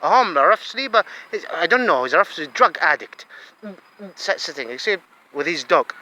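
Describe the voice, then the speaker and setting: A man from Saudi Arabia with a Saudi Arabian accent, delivering an agitated ranting monologue that swings between low muttering and loud outbursts. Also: big pitch swings, normal speed, low-quality recording.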